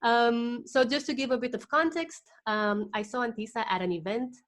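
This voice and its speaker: A woman's voice: on the high side, normal speed, a bit bright. A woman hosting a podcast interview, introducing her guest enthusiastically and talking with frequent fillers. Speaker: podcast host.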